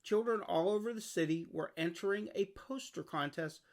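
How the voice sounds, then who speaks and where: A storybook narrator reading aloud for children. The voice sits at a medium pitch and has clear vocal fry in it. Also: moderate pace. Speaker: storybook reader.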